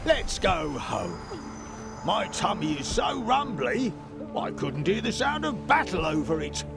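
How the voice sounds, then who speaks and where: Gravelly buffoon knight, very high pitch, slow, jovial childlike game hero. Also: male voice, very animated, over music, full character voice. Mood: jolly. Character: simple, hearty, hungry knight, good-natured buffoon. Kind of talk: video game character acting.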